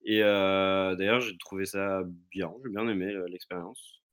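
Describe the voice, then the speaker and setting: A man's voice: low voice, flat delivery, a little slow, a bit bright, clean tone. A young man chatting as a guest over a remote call, rambling with many fillers and restarts. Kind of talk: casual remote chat.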